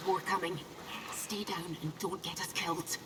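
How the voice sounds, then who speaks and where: A woman's voice: lowish voice, fairly even intonation, moderate pace, a loud crowd, natural voice. An actress playing a terse, wary woman companion in a video game, giving short instructions. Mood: wary, urgent.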